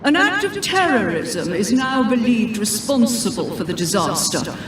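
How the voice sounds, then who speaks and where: An actress playing a grave official spokesperson in a radio play, reading a formal, declamatory statement. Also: female voice, medium pitch, very animated, moderate pace, natural voice. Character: grave, official government spokesperson at a press briefing. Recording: echoey, distant, noisy press room sound. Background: press conference ambience with camera shutters.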